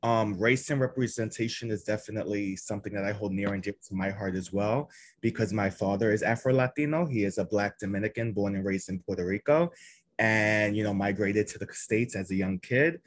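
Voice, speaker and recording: A man's voice: lowish voice, brisk, no room sound. A man speaking casually as a podcast guest. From Queens, New York. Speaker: podcast guest, elementary school teacher. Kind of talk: casual self-introduction.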